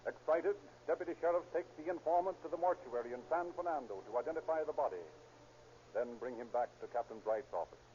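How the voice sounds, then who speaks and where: A man's voice: on the high side, fast talker, mellow, a little hiss or hum, lightly acted. A man playing a solemn narrator, reading a police case in dramatic 1930s radio style. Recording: dull 1930s radio recording.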